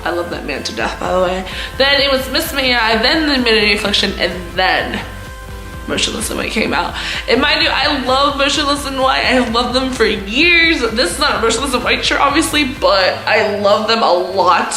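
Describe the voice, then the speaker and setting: A woman in her twenties chats casually to camera in a loud, forceful, bright voice. Her delivery is giggly and self-mocking. The room adds echo, and faint music plays behind her. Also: a little high, expressive, normal speed. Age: 20s. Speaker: vlogger, host of own channel. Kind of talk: casual storytime chat to camera.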